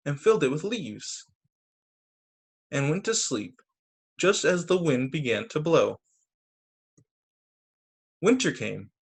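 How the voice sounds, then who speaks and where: A man reading picture books aloud to children as a teacher, expressive, with brief casual asides. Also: a little high, gravelly, husky. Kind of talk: animated children's story reading. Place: remote video story time.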